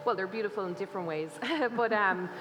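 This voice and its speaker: Irish woman cookbook author, chatty live-demo talk, reverberant hall, medium pitch. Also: a little fast. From Ireland. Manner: chatty, laughing, many fillers. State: slight cough. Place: festival stage in a large hall. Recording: reverberant hall, faint noise.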